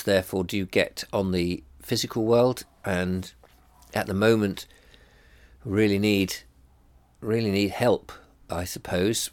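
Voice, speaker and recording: A man's voice: sits low, no echo. A middle-aged man with a blocked-up nose, musing hesitantly to camera with many ums and restarts. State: congested, bunged up. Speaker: vlogger. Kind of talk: rambling personal reflection to camera.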